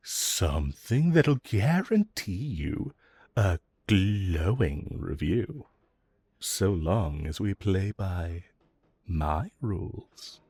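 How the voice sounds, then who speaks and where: A man playing a smug, flirtatious villain in an audio roleplay, teasing and coaxing the listener with self-assured, drawn-out phrasing. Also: medium pitch, no room sound, exaggerated voice.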